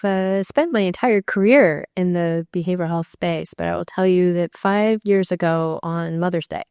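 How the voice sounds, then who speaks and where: A middle-aged woman speaking on a panel. Her voice is low and flat, with gravelly fry and a smooth resonance. She tells her story steadily and sincerely. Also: dry recording, clean studio sound. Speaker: panelist, behavioral health professional. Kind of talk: personal testimony on a panel.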